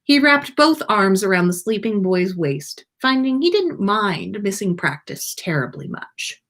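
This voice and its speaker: Female storyteller, animated and unhurried, reading fiction aloud with a very expressive delivery. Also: mid-range voice. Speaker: podcast host reading fan fiction aloud.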